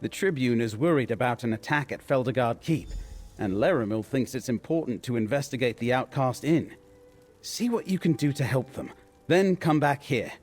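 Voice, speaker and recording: A man's voice: mid-range voice, moderate pace, husky, lightly acted. Man acting an earnest scholarly fantasy investigator. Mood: businesslike. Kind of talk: scripted fantasy video game dialogue.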